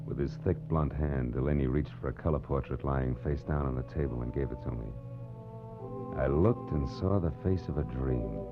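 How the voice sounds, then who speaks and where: An actor playing a wry, weary hardboiled private detective in a deadpan 1940s radio noir style. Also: male voice, very deep voice, normal speed. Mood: brooding, wry. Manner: deadpan, world-weary, sardonic. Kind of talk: 1940s radio noir acting and first-person narration. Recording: dull old radio recording with loud music.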